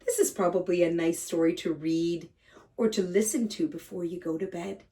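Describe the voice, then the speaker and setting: A woman reading a picture book aloud to young children as a teacher, speaking to them directly in simple, gentle phrases. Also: on the low side, fairly even intonation, moderate pace. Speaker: kindergarten read-aloud teacher and host. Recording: slightly distant microphone.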